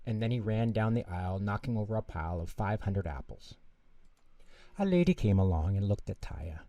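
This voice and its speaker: A man reading a children's bedtime story aloud in an animated narrating style. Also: on the low side, moderate pace. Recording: roomy echo, distant mic.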